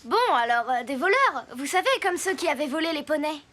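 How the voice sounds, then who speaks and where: Very high-voiced resourceful little girl. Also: lively intonation, brisk, sharp tone, soft background music. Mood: reasoning. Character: bright, resourceful, helpful young girl. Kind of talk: French cartoon dubbing.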